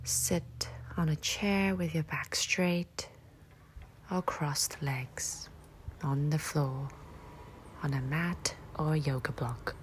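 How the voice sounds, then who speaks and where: A low-voiced woman leads a guided meditation at a very slow pace. She speaks softly and breathily in short, gentle phrases, leaving long pauses. Faint nature ambience sits behind her voice. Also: steady tone, a little hiss or hum.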